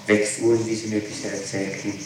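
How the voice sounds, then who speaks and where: Flat-toned male interrogator on noisy echoey tape. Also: fairly low pitch, brisk. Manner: methodical, insistent, matter-of-fact. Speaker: police interrogator. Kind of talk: formal interrogation, short probing questions.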